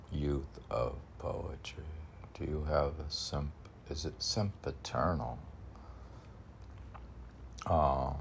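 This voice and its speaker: Very deep, creaky-voiced man reading poems. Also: a little hiss or hum. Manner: musing, halting, talks back to own lines. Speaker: poet reading own poems. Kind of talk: poetry reading with casual, rambling commentary.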